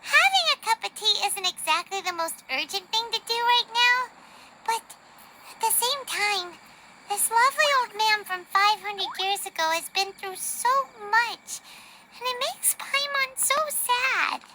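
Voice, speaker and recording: A woman voicing a tiny, chatty, soft-hearted fairy companion in a video game, with a squeaky childlike voice. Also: expressive, unhurried, heavy background noise. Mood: sympathetic.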